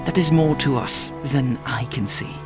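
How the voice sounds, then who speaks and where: An actress playing a skeptical, probing idealist in an audio drama, wry and questioning. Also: female voice, rumbling low, normal speed, over music. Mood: earnest. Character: skeptical, probing, idealistic would-be public servant.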